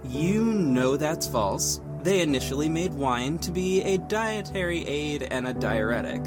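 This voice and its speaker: A man's voice: a little high, expressive, unhurried. An actor playing a young, cocky but caring male vigilante superhero in a scripted audio drama. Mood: teasing, relaxed. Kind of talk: scripted first-person narration and acted dialogue. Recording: music behind the voice. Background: music.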